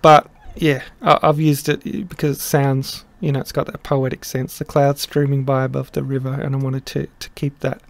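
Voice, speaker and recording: A middle-aged man explaining his song translation in a casual, halting way, with many pauses and restarts. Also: mid-range voice, little pitch movement, gravelly. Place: small room indoors.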